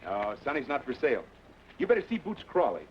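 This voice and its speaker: A wry, easygoing ranger hero in an old radio western, quick with a dry joke and lively in his delivery. He talks fast and swings his pitch around. The recording is dull and lo-fi with a faint hiss. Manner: dry, joking drawl. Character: wry, easygoing Texas ranger hero, middle-aged man. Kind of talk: 1940s radio acting.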